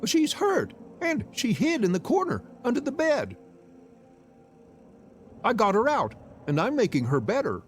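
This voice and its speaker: A man voicing a gentle, earnest little girl in a visual novel reading. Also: extremely high pitch, huge pitch swings, measured pace, long pauses, faint music behind, voice pushed up, full character voice. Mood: tender, earnest.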